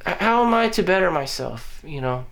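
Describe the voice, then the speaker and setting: A man's voice: a little high, lively intonation, moderate pace, vocal fry, fairly bright tone. A man talking bluntly in a rambling personal monologue for his vlog, full of "you know" fillers, restarts and casual swearing. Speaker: vlogger. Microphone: audio-only recording.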